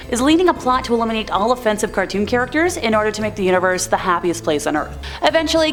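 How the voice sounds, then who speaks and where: A woman narrating a commentary video in a casual, scripted style, chatty and wry, with sarcastic asides. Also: fairly high pitch.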